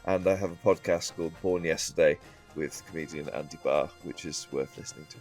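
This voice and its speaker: A man's voice: rumbling low, brisk, a little mellow, faint music behind. A man, a comedian, talking casually as a podcast guest. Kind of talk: casual chat.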